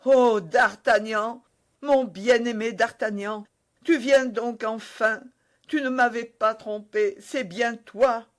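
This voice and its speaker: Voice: high voice, a little slow, pitched above the performer's own voice. A reader voicing a loving, frightened young woman who is dying of poison, speaking breathlessly and rising to cries. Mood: overjoyed. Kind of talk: audiobook character voice.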